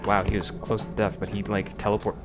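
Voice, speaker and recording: A man's voice: lowish voice, completely flat delivery, fairly quick. A man livestreaming a video game, muttering casual commentary to himself with exasperated, profane grumbling. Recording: loud music behind the voice. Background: loud music.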